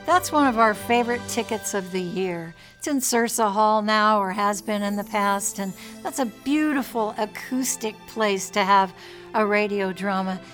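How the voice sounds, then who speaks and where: A woman's voice: mid-range voice, moderate pace, fairly bright tone, soft background music. A woman reminiscing in an interview as a devoted audience member of a show. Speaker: audience member, former producer.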